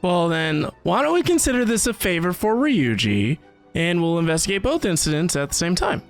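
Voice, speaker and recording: Male gaming commentator, high animated voice, casual and expressive, light music behind. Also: normal speed. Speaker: gaming video creator. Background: game music.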